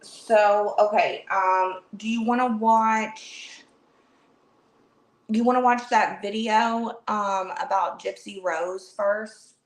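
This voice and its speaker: A woman's voice: medium pitch, steady tone, takes it slowly, poor audio. A woman hosting a casual livestream chat, chatty, with lots of fillers and restarts. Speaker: livestream host.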